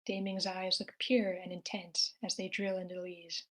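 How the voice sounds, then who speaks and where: A woman's voice: lowish voice, level tone, measured pace. A woman reading out scripted stage directions as the narrator at a screenplay table read.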